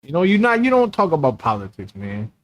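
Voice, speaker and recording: A man's voice: a little high, wildly swooping pitch, quick pace, no room sound. A man in his thirties, a streamer reacting to a video in casual, mocking, slangy banter. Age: 30s.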